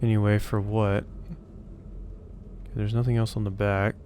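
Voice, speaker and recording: A man's voice: on the low side, flat delivery, normal speed, a little mellow, a little hiss or hum. A man doing casual gameplay commentary, muttering to himself as he thinks aloud in a self-deprecating way. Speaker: let's play host.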